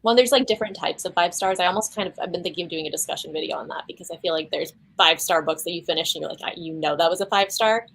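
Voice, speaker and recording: A woman's voice: fairly low pitch, fairly bright tone, a little hiss or hum. A woman chatting casually about books on a video call, peppering her talk with "like". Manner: quick, lots of "like". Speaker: book channel guest.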